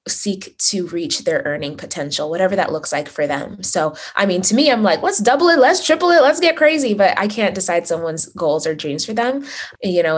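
A woman's voice: low-pitched, husky. A woman in her thirties from Uganda, raised in Las Vegas, telling her story as a podcast guest in a chatty, upbeat way with many fillers. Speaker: podcast guest, therapist and money coach. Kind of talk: conversational interview answers.

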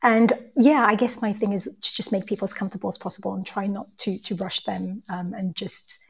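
A woman with a husky, breathy voice of medium pitch speaks on a panel. She explains herself casually and reflectively, pausing between thoughts. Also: fairly even intonation. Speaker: podcast interviewer, panelist. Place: remote online live panel.

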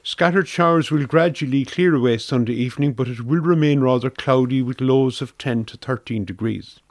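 An Irish man reads a weather forecast from a script for community radio. His voice sits at an average male pitch, recorded dry with hardly any room around it. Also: normal speed, no echo.

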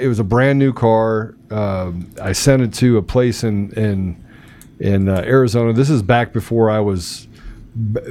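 A middle-aged man telling personal stories in a casual, colloquial talk-show chat, animated in his delivery. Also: medium pitch. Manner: animated, colloquial storytelling with sound effects.

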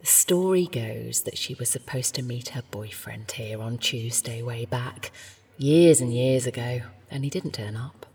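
An actor playing a librarian in an audio drama, quietly telling a story in a husky, breathy voice. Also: male voice, medium pitch, a little slow. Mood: matter-of-fact, gentle. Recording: long reverberant hall, music behind. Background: music.

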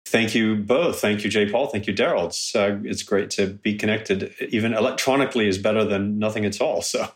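A man in his fifties from California with a mixed American and British accent, a guest talking casually over a video call, halting with many restarts. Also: fairly low pitch. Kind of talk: casual conversation.

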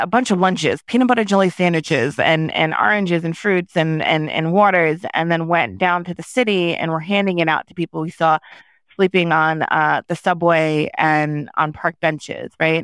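A woman's voice: deep voice, clear, resonant tone, no echo. A woman from New York answering interview questions thoughtfully, with frequent restarts and fillers. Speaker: podcast guest.

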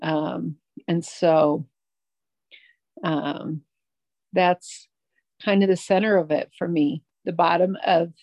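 Woman, middle-aged, recovery meeting share, halting, reflective, gravelly voice, dry sound. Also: lowish voice, steady tone, a little mellow, dry recording. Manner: halting, reflective, many fillers and restarts. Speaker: twelve-step meeting speaker.

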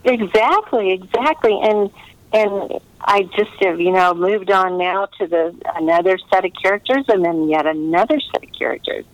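A woman's voice: mid-range voice, moderate pace, no echo, dull audio. A woman novelist chatting casually in an interview, talking in a meandering way with trailing asides.

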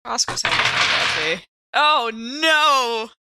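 A woman's voice: high-pitched, slow pace, heavy background noise. A woman playing a tabletop role-playing game, thinking aloud and asking questions in informal, casual chat.

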